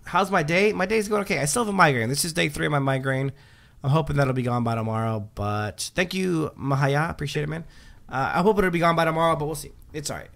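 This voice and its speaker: A man's voice: on the high side, fairly quick, vocal fry, fairly bright tone. A man hosting a livestream, chatting casually while reading patch notes, with lots of um fillers and restarts. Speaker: livestream host.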